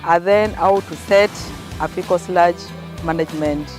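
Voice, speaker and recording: A woman's voice: on the low side, unhurried, a little mellow, soft background music. A woman project officer, interviewed, speaking hesitantly with many fillers.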